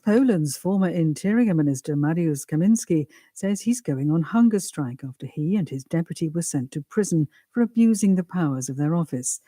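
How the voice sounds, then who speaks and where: Female international news reader, very mellow and dark tone, dry close sound, scripted delivery. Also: sits low, lively intonation, normal speed, hardly any pauses, dry recording.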